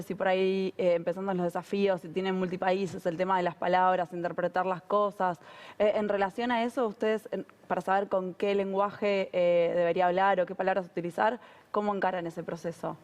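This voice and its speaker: A woman moderating a conference panel, reading out audience questions in an upbeat, chatty way. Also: mid-range voice.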